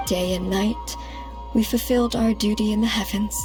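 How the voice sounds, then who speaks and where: A woman playing a dignified ancient moon goddess in a game cutscene, speaking in a measured, formal way. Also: medium pitch, steady tone, close to an everyday voice. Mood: solemn. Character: solemn, composed ancient moon goddess, elder sister. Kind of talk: dramatic game cutscene acting. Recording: background music under the voice.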